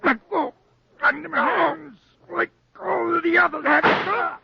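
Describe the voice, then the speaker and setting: An actor playing a folksy but menacing, unhinged police sergeant in a 1940s radio crime play. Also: male voice, extremely high pitch, fairly even intonation, slow, creaky, heavily acted. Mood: frenzied. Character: folksy male police sergeant, secretly a deranged strangler. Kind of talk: 1940s radio noir acting. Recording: dull dry 1940s radio recording.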